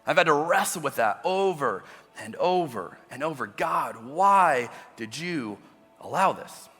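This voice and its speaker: Male pastor, middle-aged, loud and expressive, bright tenor, soft music under a reverberant hall. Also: fairly high pitch, measured pace, slightly rough. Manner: expressive preacher, swings between loud emphasis and quiet intimate asides. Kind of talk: sermon. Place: large church auditorium.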